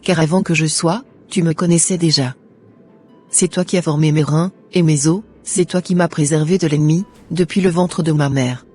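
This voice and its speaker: Woman doing a gentle churchgoing bride in a cartoon, mellow husky voice, earnest, faint music behind. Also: deep voice, steady tone, moderate pace. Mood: grateful. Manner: earnest, prayerful.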